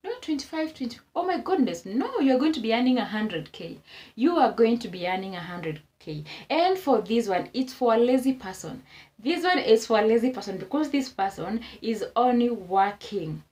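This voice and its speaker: A woman's voice: on the high side, animated, normal speed, mellow. A Kenyan woman vlogging casual advice to camera, repeating her points for emphasis and exclaiming as she goes.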